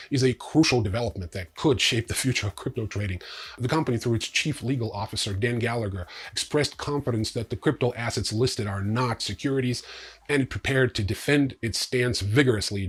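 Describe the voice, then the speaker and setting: A man's voice: medium pitch, slightly rough. A man hosting a news recap, reading scripted items with informal, wry asides. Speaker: crypto news show host.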